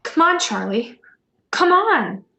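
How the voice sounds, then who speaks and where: A woman's voice: high voice, big pitch swings, takes it slowly, vocal fry, pushed and bright, exaggerated voice. A woman playing an alarmed, accusing neighbour in a radio play, speaking urgently and insistently in an echoey room. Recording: roomy, echoey home recording.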